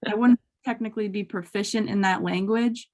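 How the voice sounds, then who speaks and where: A woman's voice: mid-range voice, steady tone, brisk, a little mellow. Woman, student, online workshop question, hesitant, video call. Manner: hesitant, with many likes. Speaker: student attendee. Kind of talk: asking a question.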